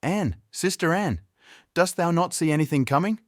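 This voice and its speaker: A man's voice: on the high side, animated, normal speed, no echo, studio quality, voice pushed up, lightly acted. A man voicing a frightened young wife in a fairy tale, pleading anxiously in formal, archaic words. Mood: urgent, desperate. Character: distressed, pleading young wife in mortal fear. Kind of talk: storybook character voice in narrated fairy tale.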